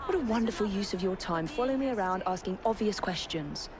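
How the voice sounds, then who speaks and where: An actress with an English accent playing a dutiful, sharp-tongued young assassin in a game, clipped and sardonic. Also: female voice, medium pitch, expressive, fast, close to an everyday voice. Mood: irritated. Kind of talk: video game cutscene acting. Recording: music behind the voice.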